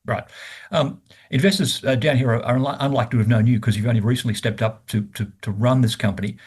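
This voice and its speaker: A man from Australia hosts a business interview and asks his questions quickly. His voice has a slightly husky edge. He stumbles and repeats words as he goes. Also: mid-range voice, steady tone, darkish tone. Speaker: interview host.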